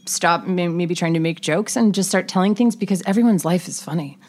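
A chatty woman from the Carolinas with a slightly low voice. She talks loosely, full of "like" and "um," and laughs easily. She sounds relaxed and unscripted. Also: brisk. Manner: chatty, laughing, lots of fillers. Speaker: podcast co-host, guest. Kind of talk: casual chat. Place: studio.

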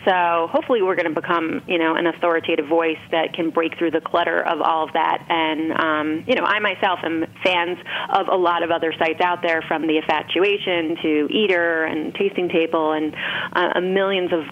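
A woman's voice: lowish voice, steady tone, moderate pace. A woman talking casually as an interview guest, explaining things with frequent conversational filler phrases. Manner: explanatory, often interjecting "you know". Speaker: interview guest, food writer and entrepreneur. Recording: muffled, band-limited with clear background noise.

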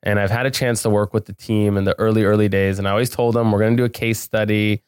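Male interview guest, clear resonant tone on the low side, informal and enthusiastic delivery. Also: little pitch movement, quick pace, dry recording, clean studio sound. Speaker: podcast guest, business owner. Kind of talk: casual interview chat.

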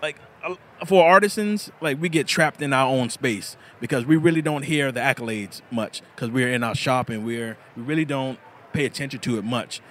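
Man, thirties, laughs a lot, restarts sentences, outdoor festival chat, mid pitch. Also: moderate pace, fairly bright tone. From South Carolina. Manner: laughs often, repeats words when starting sentences. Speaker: bladesmith guest. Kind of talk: casual interview.

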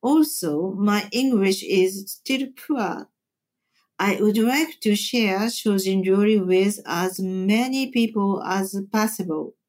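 Slow, measured Japanese-accented woman. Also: medium pitch, a little mellow. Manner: slow, deliberate, measured phrasing. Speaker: temple chef and cooking teacher. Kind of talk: interview answers, carefully read.

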